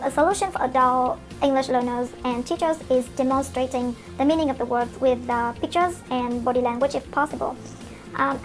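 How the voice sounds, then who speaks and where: A young adult woman with a Vietnamese accent, whose first language is Vietnamese, hosting a solo podcast lesson as an English coach. She talks earnestly and encouragingly, often pausing on fillers. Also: high voice. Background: faint background music.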